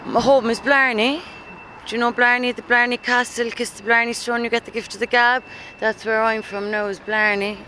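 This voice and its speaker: A talkative woman chatting with a radio reporter on the street. She speaks easily and at length. Traffic noise is loud behind her. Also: fairly high pitch, little pitch movement, moderate pace, rough recording.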